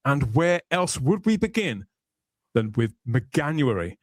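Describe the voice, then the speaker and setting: A man's voice: medium pitch, big pitch swings, takes it slowly, no room sound. A man hosting a podcast, moving between conversational talk and polished scripted narration with wordy, theatrical phrasing. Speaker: podcast host.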